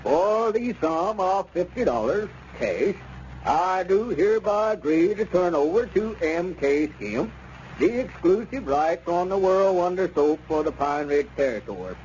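Male wheedling squire, highish voice, very animated, roundabout salesman, old radio comedy. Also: a little slow. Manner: hesitant, roundabout, unctuous. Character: wheedling small-town squire, sly real-estate dealer. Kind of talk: 1930s radio comedy acting. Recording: dull, noisy old radio recording.

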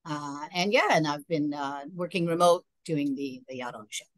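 A woman's voice: low-pitched, normal speed, dry recording. A middle-aged woman from Trinidad talking conversationally about herself as a guest sales representative on a webinar. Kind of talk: conversational personal account in a webinar. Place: indoors, on a video call.

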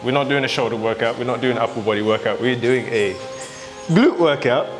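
A man's voice: mid-range voice, a little fast. Young guy hosting a gym vlog, jokey and upbeat, coaching on a lapel mic, music under it. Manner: joking, upbeat, coaching as he exercises. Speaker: fitness vlog host. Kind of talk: casual workout vlog chat. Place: home gym, indoors.

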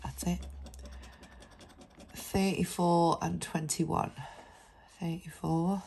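A woman's voice: fairly low pitch, little pitch movement, extremely slow, creaky, darkish tone, a little hiss or hum. A woman with a head cold, chatting casually as she scratches lottery cards and reads out numbers and letters. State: congested with a cold. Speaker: scratch card vlogger. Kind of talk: casual narration over scratch card video.

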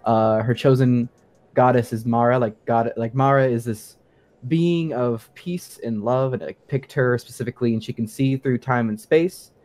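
A man's voice: medium pitch, normal speed, a little mellow. A man chatting casually as a guest sound designer on a gaming show, very informal and peppering talk with "like". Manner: informal, frequent "like" and quoted asides. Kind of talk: casual interview chat.

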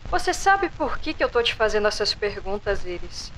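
A composed female doctor in an audio drama, played by a woman, with a mid-pitched voice. She questions her captive subject in a measured, calmly probing manner. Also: slight background noise, rough recording. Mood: calm, persuasive. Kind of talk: audio drama interview acting.

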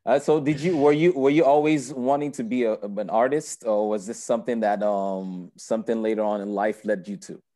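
Host, young man, low mellow voice, easygoing, filler-heavy, webcam call. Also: fairly even intonation, normal speed, few pauses. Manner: friendly, filler-heavy, repeats words. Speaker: interview host. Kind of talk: casual interview hosting. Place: indoor room. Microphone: laptop webcam video call.

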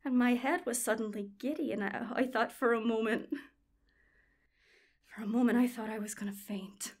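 A woman in a radio play acting a blind woman who is regaining her sight, halting and hushed, in short awed fragments. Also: on the high side, fairly even intonation, close to an everyday voice. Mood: hushed, moved. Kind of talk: dramatic monologue in a radio play.

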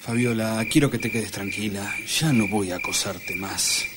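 A man's voice: lowish voice, moderate pace, heavy background noise, close to an everyday voice. An Argentine man playing a scheming, earnest piano teacher who wheedles his way through a comic radio play. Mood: soft, coaxing. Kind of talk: comedic radio play acting.

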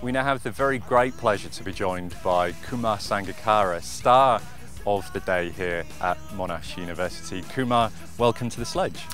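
A man's voice: on the low side, normal speed, a bit bright. A man in his thirties presenting and interviewing on location at a sports field. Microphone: handheld microphone. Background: faint music.

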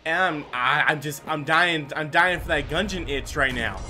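A man hosting a gaming video with animated let's play commentary, talking to himself and to the characters on screen. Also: fairly high pitch, normal speed. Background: video game music.

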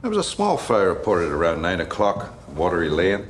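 An actor playing a rigid, middle-aged police inspector who talks formally and deferentially. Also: male voice, low voice, wildly swooping pitch, normal speed, slight background noise. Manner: formal, tightly controlled, deferential to superiors. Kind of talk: period TV drama. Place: dim wood-paneled office, indoors.

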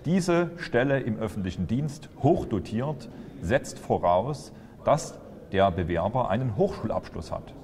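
A man's voice: fairly low pitch, lively intonation, measured pace, a little mellow, long reverb tail, a little hiss or hum. A man in his fifties presenting a political video to camera, earnest and accusatory, with occasional fillers. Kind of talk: scripted political statement to camera. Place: indoors before a large window.

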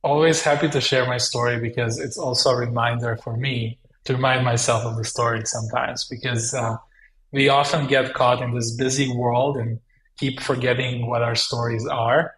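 A man's voice: medium pitch, level tone, normal speed, a bit bright, reverberant, a little hiss or hum, low-quality recording. A man in his thirties, from Southeast Europe, answering interview questions over a video call in an earnest, reflective way. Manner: earnest and reflective, with frequent fillers. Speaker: guest entrepreneur. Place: small room at home.

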